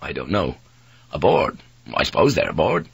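A man's voice: very deep voice, big pitch swings, normal speed, vocal fry, husky, dry recording, lo-fi sound, pitched below the performer's own voice, full character voice. A man voicing a weakened but defiant ship's pilot in an audiobook, guarded and probing, with a taunting edge. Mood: weary, evasive.

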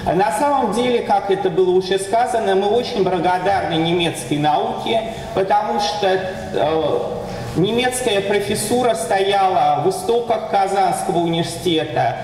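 A man's voice: sits high, moderate pace, a little mellow, distant mic, slight background noise. A man moderating a conference session and introducing the next speaker in a formal, announcing manner. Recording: echoey hall from afar.

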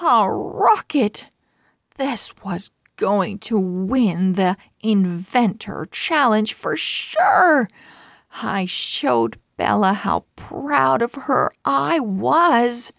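A woman reads a children's chapter book aloud, expressively and deliberately. Her pace is extremely slow, with a touch of creak in an animated delivery. The recording is close and dry. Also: a little high, dry recording. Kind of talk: slow scripted storybook read-aloud for young readers.

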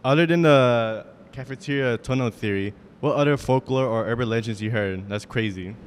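A man's voice: medium pitch, moderate pace, background noise. A young man conducting a casual on-location interview as a student reporter. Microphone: handheld interview microphone.

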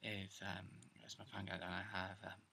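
A young man with a sore, croaky throat, talking quietly in a half-whisper at home while he goes through his card game deck for a casual video. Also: deep voice, monotone, normal speed. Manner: hushed, half-whispering late at night. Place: indoors, seated on a bed or floor at home. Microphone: camera close in front, pointed at his hands.

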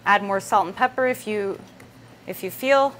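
A woman in her thirties talks through a recipe step by step at a medium pitch. She sounds conversational and casual, like the host of a live cooking class. Clear room noise and kitchen ambience sit behind her voice. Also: moderate pace, fairly bright tone. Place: indoor kitchen set with wooden worktop.

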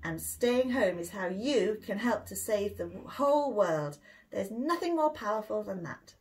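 A woman's voice: mid-range voice, animated, unhurried, darkish tone. A British woman voicing a reassuring, encouraging mother in a children's storybook. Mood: upbeat, insistent. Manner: soothing and encouraging. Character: gentle, reassuring mother of a young boy.

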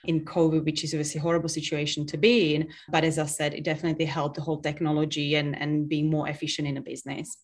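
Woman, strong Czech accent, lowish voice, earnest business guest, video call mic. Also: little pitch movement, fast, few pauses. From Czech Republic. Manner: earnest, explains with hand gestures. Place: home office, virtual background. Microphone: webcam on video call.

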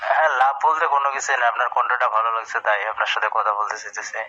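A man's voice: medium pitch, racing, sharp tone, dull audio. A young man with a Bangladeshi accent chatting casually on the phone in a coaxing, persistent, repetitive way. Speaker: mason.

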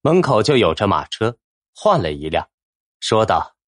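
A man's voice: on the low side, sing-song, normal speed, no echo, studio quality. A man narrating a fantasy novel in a dramatic, scripted storytelling style. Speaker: audiobook narrator.

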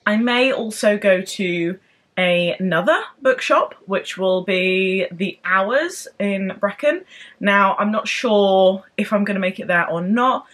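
A young woman chatting to camera in a casual book vlog, bubbly and excitable, rambling from one thought to the next. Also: mid-range voice, takes it slowly. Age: young adult. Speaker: book vlogger. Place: home room with bookshelves, later parked car.

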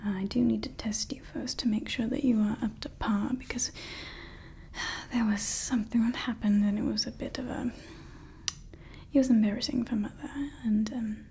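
A woman's voice: fairly high pitch, flat delivery, creaky, breathy edge, exaggerated voice. A woman whispering as a scheming evil scientist. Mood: conspiratorial whisper. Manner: soft unvoiced whisper; slow, intimate, conspiratorial ranting. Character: scheming evil woman scientist, self-styled "Mother" to her android. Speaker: ASMR creator. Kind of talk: ASMR roleplay.

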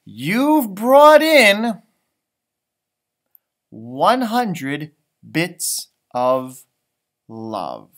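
A man voicing a kind, encouraging male schoolteacher in a storybook, with dramatic drawn-out emphasis. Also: high voice, huge pitch swings, a bit bright, voice pushed up, exaggerated voice. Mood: impressed.

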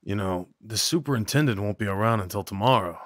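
A man acting a kind, slightly awkward neighbor in an audio roleplay, talking in a gently concerned, hesitant, conversational way. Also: lowish voice, fast, dry recording, clean studio sound, close to an everyday voice. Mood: friendly, apologetic. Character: kind, awkward, caring male neighbor comforting someone. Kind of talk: ASMR roleplay, soft one-sided dialogue acting.

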